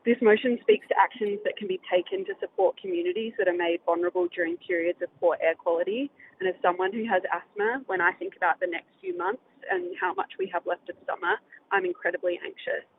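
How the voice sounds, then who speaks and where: A speaker with an Australian accent giving an earnest prepared statement to a city council. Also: female voice, lowish voice, animated, fast talker. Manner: earnest, read-out statement with rising inflections. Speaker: public speaker at a council meeting. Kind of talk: prepared public comment. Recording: tinny phone line with faint noise.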